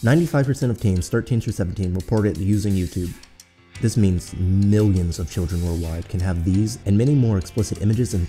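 Low, very dark-voiced male narrator. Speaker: co-host of a channel. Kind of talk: scripted video essay narration. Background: background music.

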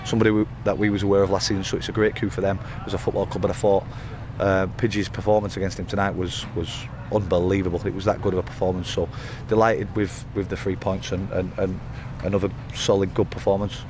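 A man in his thirties speaks as a football manager after a match, his low voice running fast and looping through fillers and repeated words. He stands pitchside under floodlights at night, close to a handheld mic. Loud outdoor noise sits behind him. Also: level tone. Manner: rambling, frequent restarts and fillers. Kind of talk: post-match interview.

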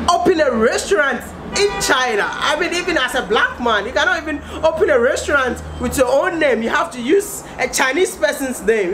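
A man's voice: extremely high pitch, sharp tone, slight background noise. A young man vlogging his opinions to camera in an emphatic, exclamatory way, often asking and answering his own questions. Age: young adult. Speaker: video blogger. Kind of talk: animated opinion vlog to camera. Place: outdoors on a high balcony over a city.

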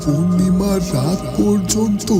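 A man voicing a caring, troubled dervish holy man in a cartoon, deliberately pondering aloud. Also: high voice. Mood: worried. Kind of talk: slow dramatic cartoon monologue. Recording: loud music under the voice.

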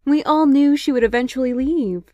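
A woman voicing a gentle middle-aged mother, consoling, in a cartoon comic dub. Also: high-pitched, normal speed, dry recording, dull audio, natural voice.

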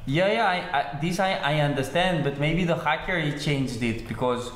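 A man on a phone call as a confused-sounding customer, halting and naive, with non-native English phrasing. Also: a little high, a bit bright. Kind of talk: hesitant phone conversation. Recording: echoey room sound.

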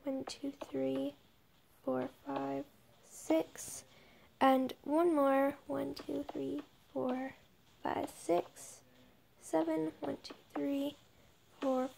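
A young woman with a high voice talks slowly through a video game build. She thinks aloud casually, often dropping to a murmur, over a noisy home recording with clicks. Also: creaky, dark tone. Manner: murmured counting under breath, thinking aloud. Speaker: gaming video creator.

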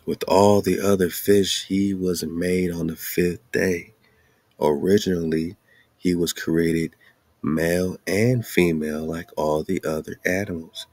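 A man's voice: sits low, steady tone, a little slow, darkish tone, no room sound. A man hosting a show, reading aloud and commenting casually with informal, slangy asides. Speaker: radio show host.

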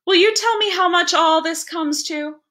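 Woman as storybook father, wary, polite, insistent, impatient, bright voice. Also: very high-pitched, moderate pace, pitched above the performer's own voice.

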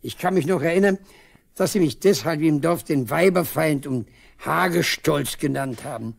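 A man's voice: a little high, normal speed, vocal fry. An actor playing a proud, talkative old village father in a 1970s East German radio drama, wistful and emphatic. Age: older.

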